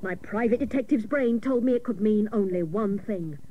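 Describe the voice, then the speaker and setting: A hapless female private eye played by a woman tells her case in a comic radio play. She is mock-dramatic and deadpan, in a mid-pitched voice, on a noisy old cassette recording. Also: normal speed, narrow, tinny audio, lightly acted. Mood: mock-dramatic narrating. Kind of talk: comic radio serial narration.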